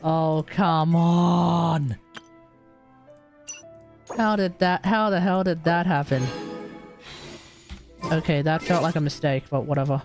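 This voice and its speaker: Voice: medium pitch, takes it slowly, long pauses, darkish tone. Australian game streamer thinking aloud. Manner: muttering to self, mildly swearing. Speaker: livestream host, gamer. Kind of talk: casual gaming commentary, thinking aloud. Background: video game music.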